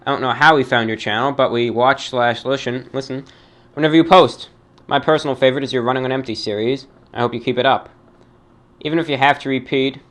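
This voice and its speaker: A man reads listener mail aloud in a formal, measured voice of ordinary pitch. He stops and restarts now and then, choosing his words with care. It comes across as an old-fashioned radio-style monologue. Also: a bit bright. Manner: formal, measured, halting delivery. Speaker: host reading fan mail.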